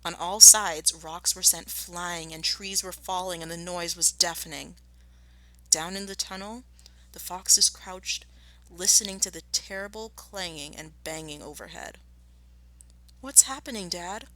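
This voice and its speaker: A woman teacher giving a storybook read-aloud lesson, explaining and narrating in an expressive manner. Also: lowish voice, measured pace, no echo, poor audio. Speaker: primary school teacher.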